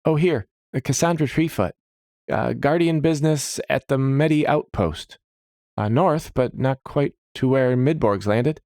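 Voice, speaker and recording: A bumbling, portly clerk acted by a male narrator in a fantasy tale, his voice pushed well up high. He is eager and hesitant, stumbling along flustered. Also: unhurried, warm tone, no echo, clean studio sound, natural voice.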